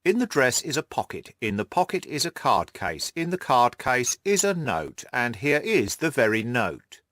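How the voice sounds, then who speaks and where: A man voicing an excitable, cocksure police detective in an audiobook, emphatic and scornful. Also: mid-range voice, normal speed, no room sound, studio quality. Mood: triumphant. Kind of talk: audiobook character acting.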